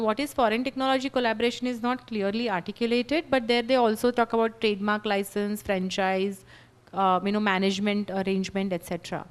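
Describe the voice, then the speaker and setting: Measured woman panelist, slightly high voice. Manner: measured, explanatory, frequent "you know" and "right?". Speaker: legal panelist. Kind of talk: panel discussion answer.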